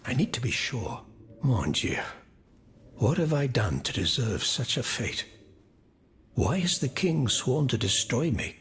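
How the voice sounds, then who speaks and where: An anguished, formal minister. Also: male voice, mid-range voice, sing-song, unhurried, long pauses, a bit bright, slight background noise. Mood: anguished, pleading. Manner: formal, wordy, plaintive. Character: anguished, proud former French royal minister, mourning his wife. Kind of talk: video game cutscene acting.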